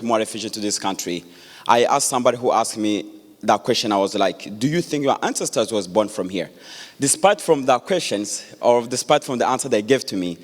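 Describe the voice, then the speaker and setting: A man, giving an earnest public speech with many pauses and restarts. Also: medium pitch. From Democratic Republic of Congo. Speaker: guest speaker. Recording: echoey hall.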